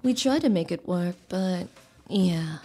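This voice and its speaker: Woman voicing a gentle, mellow, husky lodge keeper. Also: on the low side, animated, slow pace, faint music behind, full character voice. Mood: friendly, wistful. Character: gentle, polite lodge keeper woman in her early thirties. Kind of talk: manga dub dialogue.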